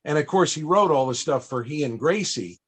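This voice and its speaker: Guy hosting a panel, slightly high voice, chatty storyteller, pauses to find his words. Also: expressive, crowd noise. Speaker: panel host and interviewer. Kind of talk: conversational storytelling to an audience.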